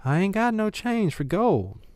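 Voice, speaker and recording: A man's voice: high-pitched, sing-song, unhurried, a little mellow, no room sound, pitched above the performer's own voice. A man voicing a curt, truculent, hard-bitten barmaid in a frontier saloon for an audiobook. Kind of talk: audiobook character dialogue.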